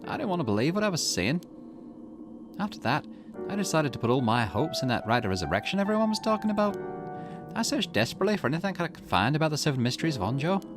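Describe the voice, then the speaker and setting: Man voicing a fierce, grieving young woman, swooping pitch. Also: very animated, quick pace, over music. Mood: distraught recollection. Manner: indignant, emphatic, dramatic delivery. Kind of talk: acted visual novel dialogue.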